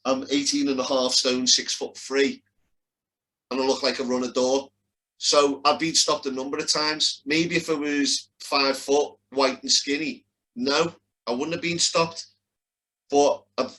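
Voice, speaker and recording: A man's voice: medium pitch, steady tone, moderate pace, bright, forceful tone, poor audio. A man with a Liverpool accent arguing his side in a heated casual debate, blunt and emphatic. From Liverpool, England.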